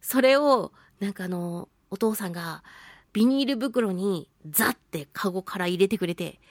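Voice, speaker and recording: A woman's voice: mid-range voice, animated, normal speed, dry recording, clean studio sound. A woman hosting a solo talk show, chatting casually and telling stories in a playful, giggly manner with sound-effect words. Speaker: radio show host, voice actress.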